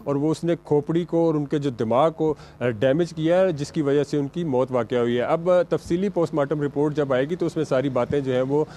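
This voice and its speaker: A man with a Pakistani accent giving an unscripted news report, halting and hesitant, with frequent filler sounds. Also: a little high, dark tone, slight background noise. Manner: halting, hesitant delivery with repeated filler words.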